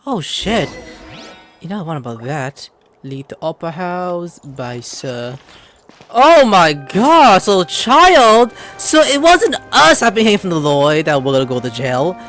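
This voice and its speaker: A man's voice: sits high, very animated, a little slow, a bit bright, soft background music. A man giving casual, rambling gameplay commentary, mumbling and swearing freely. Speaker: gamer.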